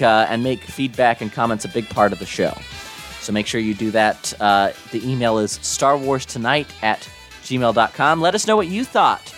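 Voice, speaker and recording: A young man hosting a live podcast, slightly hoarse, talking casually and upbeat with lots of fillers and restarts. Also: mid-range voice, fairly bright tone. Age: young adult. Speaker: podcast host.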